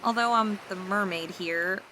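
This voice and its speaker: A woman voicing a seductive, scheming mermaid in an ASMR roleplay, talking in a teasing, flattering, coaxing way. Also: medium pitch, measured pace, slight background noise.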